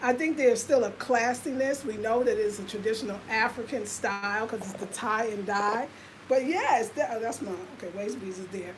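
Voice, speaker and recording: A woman's voice: a little high, normal speed, slight background noise, rough recording. A woman in her fifties with an American accent, chatting casually and confidingly to viewers on her livestream, full of fillers. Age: 50s. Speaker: lifestyle vlogger.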